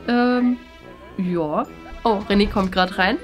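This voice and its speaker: A woman's voice: mid-range voice, takes it slowly. A woman in her thirties talking casually as a vlog host, recorded indoors on a handheld camera. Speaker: video host. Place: indoor rooms, studio and office. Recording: music behind the voice. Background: background music.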